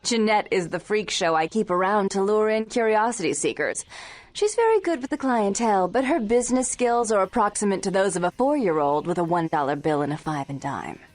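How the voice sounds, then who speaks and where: Casting: woman to play a controlling, scornful businesswoman for a video game, medium pitch, formal and clipped delivery. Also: animated, a little fast, faint music behind, natural voice. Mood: cold disdain. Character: haughty, controlling businesswoman scornful of her twin sister. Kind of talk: video game dialogue acting.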